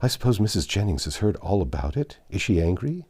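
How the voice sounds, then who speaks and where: A man voicing a gossipy, excitable, vulgar young Regency woman in a storybook reading, chattering on in run-on sentences. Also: fairly low pitch, brisk, breathy edge. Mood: eager prying.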